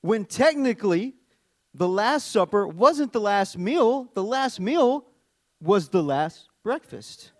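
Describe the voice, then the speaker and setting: A middle-aged man with a Southern US accent preaches in a chatty, animated way, dropping jokes between scripture readings. His voice sits a bit high for a man. The sound is dry and close. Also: slow pace, dry recording. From Arkansas, US. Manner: chatty, joking asides, animated storytelling. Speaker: worship leader and preacher. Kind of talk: conversational sermon with scripture reading.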